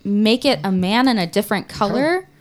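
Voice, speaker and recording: A woman's voice: mid-range voice, expressive, normal speed. Woman, casual podcast talk, blunt, opinionated, sweary. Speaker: podcast panelist. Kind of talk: casual chat.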